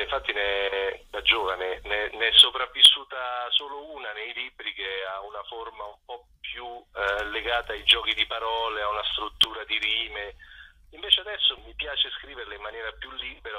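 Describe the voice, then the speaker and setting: A man's voice: lowish voice, bright, forceful tone, slightly rough. A man being interviewed on radio over a phone line, talking reflectively and hesitantly as he thinks aloud. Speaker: poet and singer-songwriter guest.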